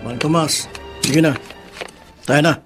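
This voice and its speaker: A man's voice: on the high side, fairly even intonation, over music. A man with a Filipino accent playing a strict, proud retired army colonel in a radio drama, speaking emphatically. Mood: proud, authoritative. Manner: emphatic, deliberate, repeats words.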